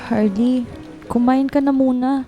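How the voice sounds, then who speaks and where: A woman's voice: high voice, fairly even intonation, measured pace, warm tone, faint music behind. A woman playing a loyal, protective coworker, supportive and reassuring, with a Filipino accent in a radio drama. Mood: caring. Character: loyal, protective office friend, caring and principled.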